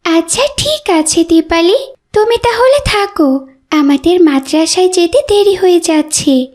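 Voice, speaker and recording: A woman's voice: very high-pitched, expressive, unhurried, a little mellow. A woman voicing a kindly, devout young schoolgirl in a cartoon, sincere and encouraging. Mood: kindly, reassuring. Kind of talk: cartoon dialogue acting.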